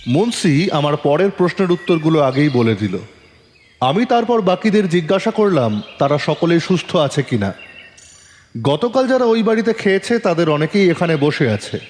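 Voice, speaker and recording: A man playing a composed, probing police inspector who narrates his case in a dramatic, deliberate audio-drama style. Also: on the high side, very animated, a little mellow, a little hiss or hum. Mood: suspenseful, measured. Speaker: narrator and lead actor in an audio story. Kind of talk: dramatic first-person detective story narration. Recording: long reverb, music underneath.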